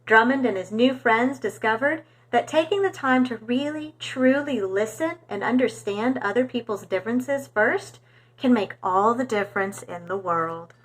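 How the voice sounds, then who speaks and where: A woman reading a storybook aloud for young children in an expressive, animated storytelling manner. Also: a little high, moderate pace.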